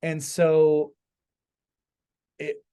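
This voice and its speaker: A man's voice: a little high, level tone, very slow, mellow, clean tone. A middle-aged man co-hosting a podcast in casual conversation, informally thinking aloud with frequent asides. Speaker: podcast co-host.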